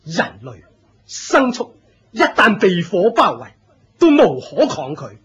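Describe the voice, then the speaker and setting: Husky, pompous Cantonese cult leader. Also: male voice, sits high, huge pitch swings, takes it slowly, fairly bright tone, muffled recording, exaggerated voice. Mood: fervent, exalted. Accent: Hong Kong Cantonese. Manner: declamatory, pompous, authoritative. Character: proud older male fire-cult leader, grand and fervent. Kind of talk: Cantonese radio drama acting.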